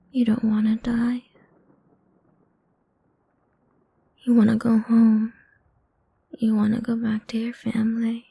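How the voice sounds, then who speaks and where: Woman playing a dark, breathy, cruel female vampire. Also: a little high, full character voice. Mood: mocking pity. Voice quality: dark, soft, breathy, creaky. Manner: slow, taunting, condescending, half-whispered. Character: cruel, playful, condescending female vampire toying with prey. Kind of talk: improvised ASMR roleplay.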